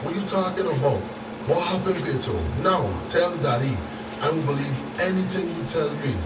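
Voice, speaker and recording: A man's voice: fairly high pitch, moderate pace, roomy sound, recorded from a distance, very noisy, close to an everyday voice. An actor in a radio drama playing an intense, hot-headed father with a Caribbean accent. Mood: concerned. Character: furious, hot-headed father bent on revenge.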